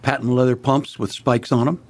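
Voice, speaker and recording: A man in his sixties, a comedian guest, tossing quick jokes and one-liners into the chat. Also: mid-range voice, little pitch movement. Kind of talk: casual talk-show banter.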